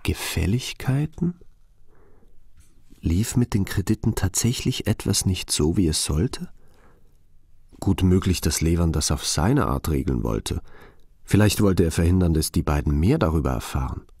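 A man's voice: deep voice, expressive, unhurried, a little mellow, breathy edge, no echo, clean studio sound, exaggerated voice. A man acting as a sober, questioning investigator in a crime audio drama.

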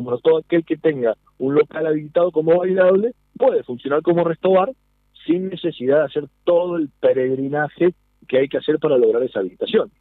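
A man's voice: on the high side, no echo. A man in his thirties with an Argentine accent, explaining a policy earnestly over a phone interview. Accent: Argentine Spanish. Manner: earnest, explanatory, asks for agreement. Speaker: nightlife business representative. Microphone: phone call. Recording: tinny phone line.

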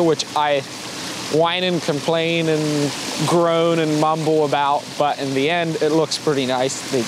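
A man giving a casual, rambling, self-deprecating project update to camera as a landscaper vlog host, outdoors. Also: on the high side. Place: outdoors by a driveway waterfall. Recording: clearly noisy outdoor audio with running water.

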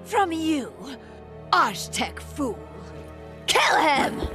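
Imperious warrior empress, wildly expressive. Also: female voice, high-pitched, extremely slow, music in the background, heavily acted. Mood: outraged. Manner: haughty, theatrical, threatening. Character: imperious, hot-tempered, vengeful young warrior empress, villainess. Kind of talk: dramatic fantasy game acting.

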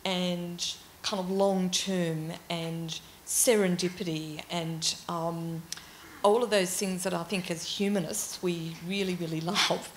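An older woman on a panel in a large auditorium, answering thoughtfully with frequent pauses and ums into a handheld mic. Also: lowish voice, steady tone, slight background noise. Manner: thoughtful, halting with many ums. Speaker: panelist, library director.

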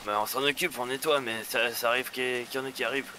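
A man's voice: mid-range voice, fairly even intonation, very fast, over a noisy crowd. A man talking casually and instructively while role-playing in an online game, heard over noisy voice chat. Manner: instructive, colloquial. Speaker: online role-play player acting as survivor boss.

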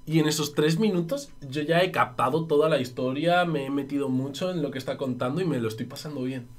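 A man's voice: fairly high pitch, fairly quick. A young man with a Spanish accent chatting casually on a reaction stream. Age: young adult. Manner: lively, jokey. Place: streaming room.

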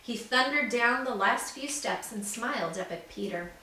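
A middle-aged woman, a teacher, doing an unhurried read-aloud for children and addressing them directly. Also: a little high, expressive, sharp tone. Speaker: second-grade teacher. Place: living room at home, indoors. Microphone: camera mic several feet away. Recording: echoey room, distant mic, background noise.

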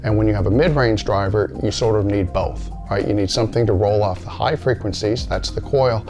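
Middle-aged male presenter, lowish pitch, informal step-by-step explainer, music underneath. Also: brisk. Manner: plain-spoken, explains step by step with examples. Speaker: company presenter explaining audio basics. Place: indoor listening room, seated.

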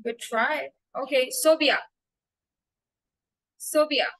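A young adult woman acting as an English test examiner, prompting a candidate slowly and repeating model answers over a video call. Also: sits high, rough recording. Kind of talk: mock speaking test, coaching.

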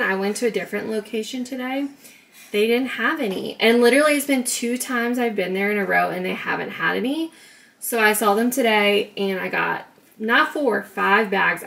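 A woman's voice: medium pitch, gravelly, a bit bright, slight background noise. A young woman casually chatting through a grocery haul vlog in her home kitchen, upbeat and talkative, recorded on a camera mic. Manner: chatty, upbeat, talks in a sing-song baby voice to her son. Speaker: vlogger.

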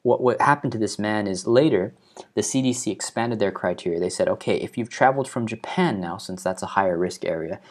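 Earnest young man explaining the news. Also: on the low side. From Hawaii. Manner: earnest, measured, stressing key numbers. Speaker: video host. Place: living room at home, indoors.

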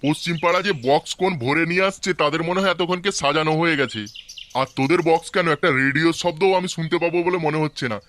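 A man's voice: fairly high pitch, steady tone, quick pace, fairly bright tone, lightly acted. A man with a West Bengali accent voicing a nagging, mocking older village uncle in an amateur comic cartoon, sarcastic and needling.

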